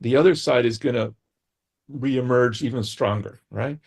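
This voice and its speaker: A man's voice: medium pitch, normal speed, gravelly, darkish tone. A middle-aged man from the United States, a guest expert explaining ideas on a talk show and often checking in with "right?".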